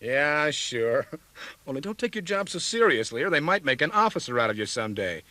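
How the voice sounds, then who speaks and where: An easygoing colonel in an audio drama. His voice is a little high and bright, with animated, teasing phrasing. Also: brisk, no room sound. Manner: teasing, informal. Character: wry, easygoing male military colonel.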